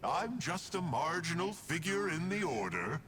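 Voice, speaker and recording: A man's voice: a little high, sing-song, a little slow. A man voicing a sly, self-amused villain with a breezy, mocking, theatrical manner in an anime-style video game. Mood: flippant. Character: sly, flippant, self-amused villain schemer of a dark order. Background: game music.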